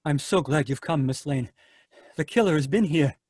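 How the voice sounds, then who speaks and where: A man's voice: on the high side, normal speed, loud music behind the voice, lightly acted. A man playing a sinister doctor who turns out to be a nursery-rhyme killer, in an old-time radio drama read over a video call. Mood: nervous. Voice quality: creepy, sing-song when reciting rhymes. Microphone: home computer mic.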